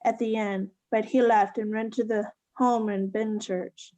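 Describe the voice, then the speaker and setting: A woman's voice: medium pitch, little pitch movement, measured pace, dark tone, dull audio, lightly acted. A woman acting in a radio play as a kindly, fretful innkeeper, polite and earnest. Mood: worried, polite.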